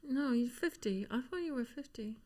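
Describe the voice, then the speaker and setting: A woman's voice: a little high, expressive, moderate pace, darkish tone. A woman in her forties co-hosting a podcast, teasing her partner in dry, casual chat. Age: 40s. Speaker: podcast co-host.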